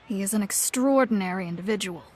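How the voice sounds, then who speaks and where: A woman playing a young, poised and knowing guild receptionist in an anime-style game, speaking in a measured, courteous, explanatory way. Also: medium pitch, slight background noise, natural voice. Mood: courteous, informative. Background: game music.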